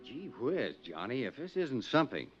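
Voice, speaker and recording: A man's voice: mid-range voice, sing-song, moderate pace, music in the background, lightly acted. An American actor playing an anxious, friendly insurance company man, earnest and fretful, in 1950s radio drama style. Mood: astonished, doubtful. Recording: muffled 1950s radio recording.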